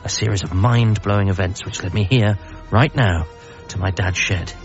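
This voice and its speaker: Actor as low-voiced, anxious British son. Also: male voice, normal speed, highs cut off, faint music behind, natural voice. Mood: earnest. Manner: hesitant, wry, earnest. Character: anxious, self-deprecating grieving son working as a doctor. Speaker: narrator and lead character. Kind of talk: first-person radio comedy drama narration.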